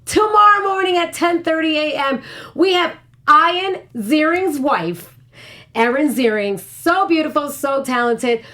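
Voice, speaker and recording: A woman with a high voice talks as a panelist on an aftershow. Her delivery is casual and excitable, full of playful slang.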